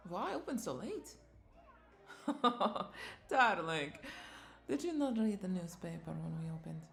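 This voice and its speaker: A woman's voice: lowish voice, animated, measured pace, gravelly. A woman playing a flirty, doting vampire bartender in a roleplay audio drama, coaxing and affectionate as she speaks to the listener. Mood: amused, reassuring. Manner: coaxing, affectionate, lingering over words with soft chuckles.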